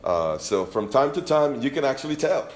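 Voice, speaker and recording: A man's voice: medium pitch, big pitch swings, moderate pace, reverberant, a little hiss or hum. Venezuelan-accented man teaching scripture. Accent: Venezuelan Spanish. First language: Spanish. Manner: conversational, joking, reads scripture aloud. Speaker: congregation teacher. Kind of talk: informal sermon teaching.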